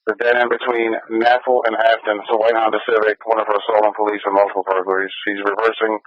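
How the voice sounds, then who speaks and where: A man's voice: lowish voice, level tone, fast talker, no echo, lo-fi sound. An American man working as a police radio dispatcher, repeating key details in clipped, official phrases over a scanner feed. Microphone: radio transmission.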